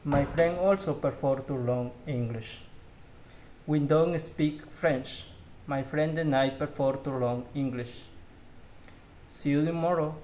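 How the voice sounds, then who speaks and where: A man whose first language is Spanish reads English practice drills aloud, carefully and very slowly. His voice sits somewhat high. A faint hiss runs behind him. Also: darkish tone, rough recording. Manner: slow, careful practice reading with slips. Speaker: English learner.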